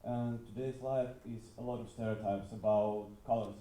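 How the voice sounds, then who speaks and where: A man's voice: lowish voice, steady tone, moderate pace, mellow. A man from Estonia introducing himself at a conference, casual and joking. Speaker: conference participant. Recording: roomy echo, a little far from the mic.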